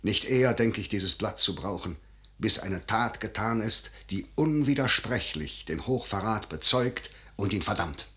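An actor playing a grave, scheming middle-aged general and father, declaiming solemnly and with measured delivery in a 1950s German radio verse drama. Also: lowish voice, lively intonation, pushed and bright. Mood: grave, resolute.

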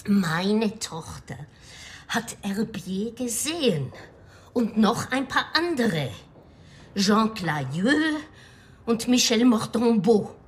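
An actress playing a grave, anxious middle-aged widow from the provinces in a radio crime drama, speaking hesitantly and with foreboding. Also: female voice, on the high side, very animated, extremely slow, exaggerated voice. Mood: ominous, hesitant.

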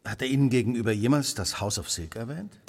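An actor playing a keen, determined Victorian gentleman detective in a radio play, with precise, polite diction. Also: mid-range voice, fast talker, husky. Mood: politely probing.

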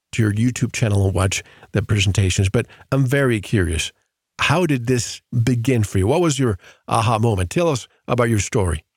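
A man hosting a radio interview program, reading a scripted introduction and then questioning his guest in a measured, earnest way. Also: mid-range voice, darkish tone, no room sound, studio quality. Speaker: radio show host.

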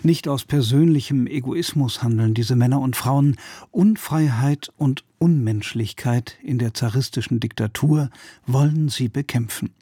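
A man presenting a radio play with a formal, scripted introduction and deliberate phrasing. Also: medium pitch, warm tone, breathy edge, dry recording, clean studio sound.

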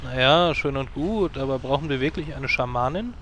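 A man's voice: mid-range voice, normal speed, close to an everyday voice. A bossy, sarcastic guild leader, played by a man, running a vote and keeping his group in line. His voice sits mid-range over a faint hiss. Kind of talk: parody audio drama.

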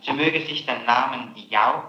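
A man's voice: mid-range voice, unhurried. A man working as a prosecutor, questioning formally in a pressing, reproachful way, on an old 1960s interrogation recording. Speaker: public prosecutor conducting an interrogation. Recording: muffled, echoey, noisy 1960s interrogation tape.

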